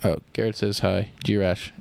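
A man co-hosting a comedy podcast, talking in a deadpan, rambling way full of fillers. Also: lowish voice, unhurried, vocal fry. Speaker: podcast co-host. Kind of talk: improvised comedy banter.

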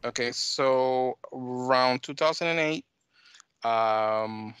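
A middle-aged man with a mixed Dominican and American accent, answering interview questions in halting, conversational phrases. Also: medium pitch, a bit bright, smooth, dry recording. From United States and Dominican Republic. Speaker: talk show guest, flight sim scenery developer.

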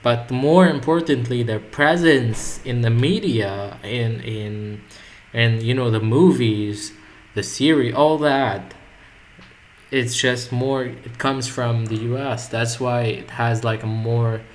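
Casual male guest, native Arabic speaker, mid-pitched, thinks aloud with lots of restarts, faint noise underneath. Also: slow pace. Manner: rambling, reflective, many restarts. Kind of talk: casual conversation.

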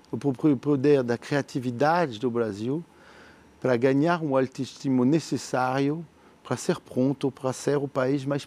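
A French entrepreneur speaking Portuguese as a second language with a French accent, answering interview questions in an earnest, visionary way, halting often with fillers and repeated words. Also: male voice, mid-range voice, mellow. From France.

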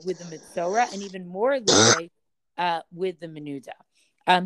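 Woman, study-session teacher, low voice, dry close sound, thinking aloud with ums. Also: no room sound.

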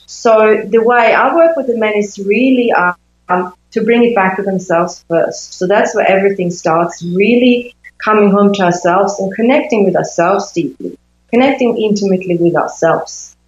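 Swedish woman in her fifties, guest coach, slow thoughtful answers, roomy muffled audio. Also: medium pitch. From Sweden. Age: 50s. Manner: thoughtful, searching for words with many fillers. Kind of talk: interview conversation. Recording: echoey, dull lo-fi sound.

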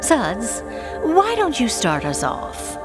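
A woman's voice: fairly high pitch, wildly swooping pitch, heavily acted. A woman playing the composed, politely impatient leader of a witch band in a comic audio drama. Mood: dry, impatient. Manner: dry, measured, politely impatient. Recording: music underneath.

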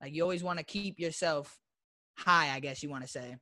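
A man's voice: fairly high pitch, brisk, sharp tone, no echo, clean studio sound. A young man from Florida, a guest YouTuber, talking in a loose, slangy way with lots of fillers. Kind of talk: casual interview chat.

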